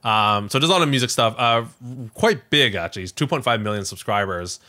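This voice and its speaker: A man hosting a podcast, casually recounting a story in a rambling, animated way. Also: on the low side, a little fast. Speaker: podcast host.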